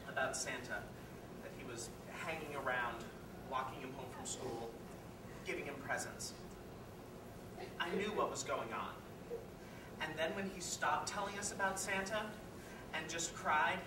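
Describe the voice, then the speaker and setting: A woman playing a bitter, guilt-ridden, washed-up reindeer parent in a confessional dramatic stage monologue that swings between hushed and loud. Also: on the low side, close to an everyday voice. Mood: guilty, subdued. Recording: echoey, distant, noisy live room recording.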